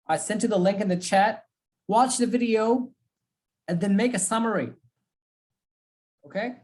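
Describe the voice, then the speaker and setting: A woman's voice: mid-range voice, a little slow, darkish tone. A woman teaching an online speaking class, giving feedback and advice. She repeats her key points and keeps checking in with "okay?".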